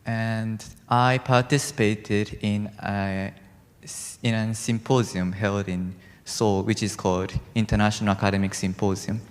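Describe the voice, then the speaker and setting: Flat-voiced teenage boy in a hall. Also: lowish voice, unhurried. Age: teens. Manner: casual, restarting often. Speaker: student panelist. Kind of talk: prepared self-introduction. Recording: echoey hall.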